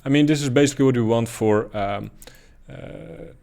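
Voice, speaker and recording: Man, Dutch accent, mid-pitched voice, casual chart commentary, hesitant fillers. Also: moderate pace, gravelly. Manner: relaxed, thinking aloud with many ums and uhs. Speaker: crypto chart analyst.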